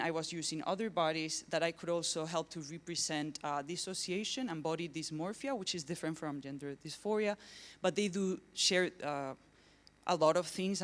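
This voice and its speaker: Earnest Spanish-accented woman presenting. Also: low-pitched. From southern Spain. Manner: earnest, searching for words. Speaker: artist and academic researcher. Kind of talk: conference presentation. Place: lecture event.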